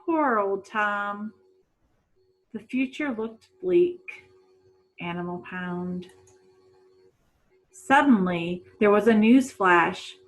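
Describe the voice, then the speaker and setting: A woman reading a children's picture book aloud in a dramatic, deliberate storytelling manner. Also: medium pitch, expressive, low-quality recording. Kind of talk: expressive storybook read-aloud.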